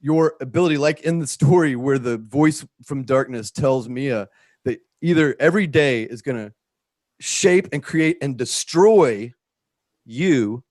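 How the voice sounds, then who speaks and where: A man's voice: fairly high pitch, darkish tone, no room sound, clean studio sound. Earnest, sweary man talking casually. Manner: sweary, earnest, halting with repeated phrases. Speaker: writer and filmmaker. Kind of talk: casual heartfelt talk to a group.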